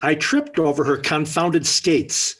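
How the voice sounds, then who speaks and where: A man's voice: a little high, slow, fairly bright tone, husky, exaggerated voice. A man playing a grumpy, exasperated middle-aged father in a 1940s radio sitcom. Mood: indignant. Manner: indignant, blustering.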